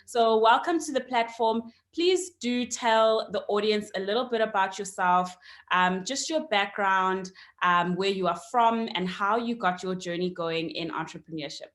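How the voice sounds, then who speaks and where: A woman's voice: mid-range voice, unhurried, fairly bright tone. A woman, hosting an online interview and welcoming her guest, with frequent ums along the way. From South Africa. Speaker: host.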